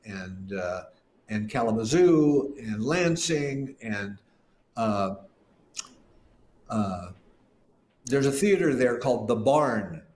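A man's voice: mid-range voice, a little mellow. An older man, a guest musician, telling long, rambling stories in an interview and often restarting his sentences. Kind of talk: casual interview storytelling. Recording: roomy echo.

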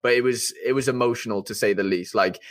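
A man's voice: medium pitch, fairly even intonation, fast, no echo. Eager young Welshman, dry close sound. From Wales. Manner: rambling and eager, full of fillers and restarts. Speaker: guest. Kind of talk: casual podcast chat.

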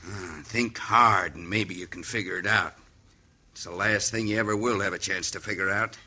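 An actor playing a cruel, taunting outlaw gang boss in an old radio western, with a folksy American cowboy drawl. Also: male voice, sits low, steady tone, moderate pace, sharp tone, full character voice. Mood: gloating.

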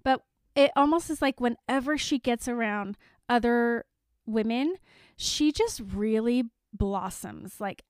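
Young woman podcast co-host, slightly high-pitched, dry recording, bubbly and quick to laugh. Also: clear, resonant tone, no room sound. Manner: giggly and animated, frequent laughter. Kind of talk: casual book discussion chat.

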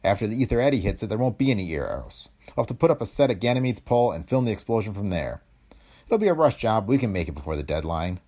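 A man voicing a gruff, soft-hearted boss in a pulp space adventure story, giving brusque, slangy orders. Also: fairly low pitch, very animated, fast talker. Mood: brisk, decisive.

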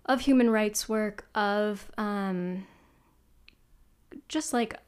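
A young adult woman, a guest journalist, sounding hoarse from a cold and chatting casually with plenty of ums. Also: medium pitch, drawn-out words. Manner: chatty, self-deprecating, lots of ums. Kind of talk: casual interview chat.

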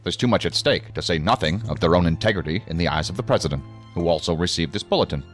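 A commanding middle-aged boss of federal agents with a low voice. He speaks formally and with clipped authority, as in a sci-fi audio drama, with music clearly underneath. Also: expressive, fast talker. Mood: stern, official. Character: stern, commanding middle-aged male federal agency superior. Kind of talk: science fiction audio drama acting.

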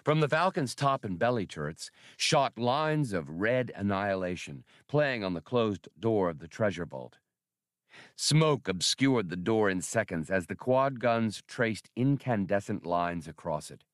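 Male narrator for adventure fiction. Lowish voice, animated range, very dry recording. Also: measured pace, no echo. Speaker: audiobook narrator. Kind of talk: scripted narration of a science fiction adventure novel.

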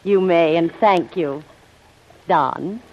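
An actress playing a plucky, earnest young heroine in old radio drama style. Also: female voice, lowish voice, takes it slowly, loud music behind the voice. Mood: grateful. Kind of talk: 1940s radio acting. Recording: dull old radio recording.

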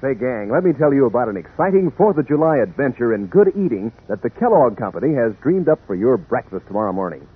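A man's voice: medium pitch, very animated, fast, hardly any pauses, breathy edge, soft background music. A man announcing radio advertisements in a chummy, enthusiastic 1940s style aimed at children. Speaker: announcer, sponsor pitchman. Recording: tinny 1940s radio recording.

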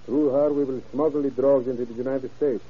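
An actor playing a cold, scheming, commanding Mexican general in old-time radio drama style. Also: male voice, medium pitch, fairly even intonation, a little fast, slightly rough, natural voice. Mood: calculating, commanding. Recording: muffled old radio recording.

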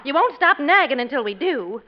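A woman's voice: high-pitched, very animated, brisk. An actress playing a practical, unimpressed wife and mother in a classic radio comedy, dry and dismissive. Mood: wearily indulgent. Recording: dull, noisy radio studio with audience.

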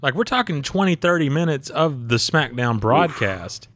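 A man's voice: on the high side, normal speed, a little hiss or hum. A man of thirty co-hosting a podcast, chatting casually and animatedly about wrestling and laughing often. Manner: animated, laughs often, quotes people in voices. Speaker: podcast co-host.

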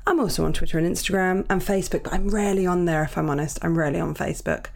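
An Irish woman hosting her podcast in a casual, chatty way, her voice croaky from early morning. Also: on the low side, very fast, darkish tone. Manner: chatty, apologetic, upbeat. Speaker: podcast host. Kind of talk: casual chat.

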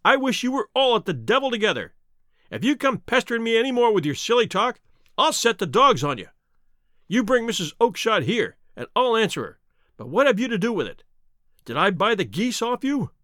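A man's voice: sits high, very animated, fairly quick, pushed and bright, dry recording, clean studio sound, full character voice. A man voicing a surly, hot-tempered market salesman in an audiobook, fierce and impatient, raising his voice. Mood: furious.

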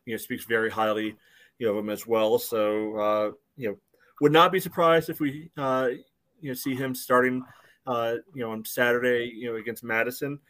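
A man's voice: mid-range voice, steady tone, moderate pace, fairly bright tone. An American man hosting a sports podcast, recapping a game and leading the talk, with constant "you know" fillers. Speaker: podcast host. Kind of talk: casual sports chat.

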